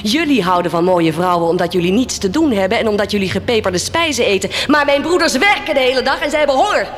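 A woman's voice: medium pitch, animated, fast, a little hiss or hum, close to an everyday voice. An actress playing a proud, compassionate woman of the poor in a Dutch radio play, solemn and impassioned. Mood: defiant, measured.

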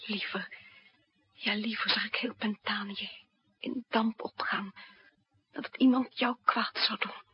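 An actress playing an anxious, protective woman in love with a spy, urgent and breathy, in a 1960s radio play. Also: medium pitch, fairly even intonation, measured pace, long pauses, dry recording. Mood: emotional. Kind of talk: 1960s Dutch radio drama acting. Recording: dull old radio drama recording.

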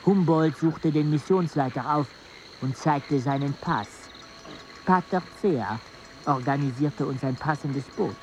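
An actor playing a jungle explorer's companion who narrates a radio play in a measured, literary way. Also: male voice, on the high side, slight background noise, close to an everyday voice. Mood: sober. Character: male expedition companion narrating a grim jungle journey.

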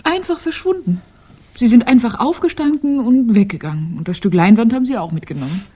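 An actress playing a self-possessed, teasingly polite young artist's model with a soft, breathy voice in a radio crime drama. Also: female voice, a little high, lively intonation, brisk. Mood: tense recounting.

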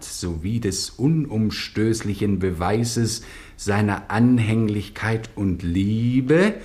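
An actor playing a stern, dignified Venetian judge in a German radio drama, measured and authoritative. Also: male voice, lowish voice, a little mellow.

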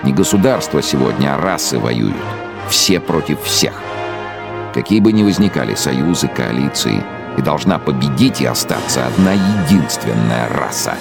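An actor playing a brooding, arrogant, fanatical middle-aged dictator in a radio drama monologue, speaking in pompous, scornful, emphatic phrases. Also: male voice, a bass voice, expressive, normal speed, creaky, heavily acted. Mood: indignant, fanatical. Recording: loud music behind the voice. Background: music.

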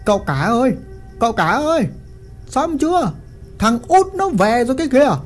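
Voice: medium pitch, expressive, faint music behind, voice pushed up, natural voice. A storyteller voicing a servile, stammering male henchman. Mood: nervous. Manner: stammering, fast.

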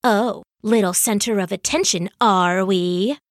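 A woman's voice: medium pitch, measured pace, no echo, clean studio sound, lightly acted. A woman voicing a sly, jealous male trickster god in a storybook myth, flippant and taunting. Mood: spiteful.

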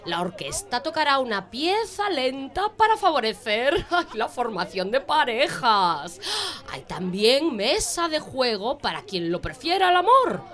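A woman's voice: high voice, very animated, unhurried, a bit bright, heavily acted. A woman playing a theatrical, effusive lady hostess of a grand ball in an audio drama, sighing and giggly as she addresses guests. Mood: breezy, festive announcing. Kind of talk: audio drama announcement speech.

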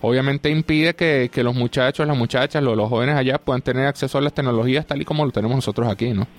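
A man's voice: medium pitch, fast. A man with a Venezuelan accent hosting a casual radio chat from the studio, talking loosely and often tossing in "vale". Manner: chatty, uses "vale" a lot. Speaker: radio show host.

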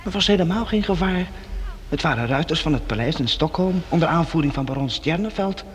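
A man's voice: fairly high pitch, lively intonation, a little fast, slight background noise, close to an everyday voice. An actor playing an eager, kind-hearted boy in a radio play, earnest and a little hesitant. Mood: reassuring. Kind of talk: radio drama acting.

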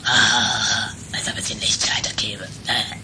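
Woman doing a very low, whispery male tramp. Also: level tone, normal speed. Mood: furtive. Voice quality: hoarse, whispery. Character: shady, jittery male tramp and jailbreaker. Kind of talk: improvised amateur audio drama. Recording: noisy home-made recording.

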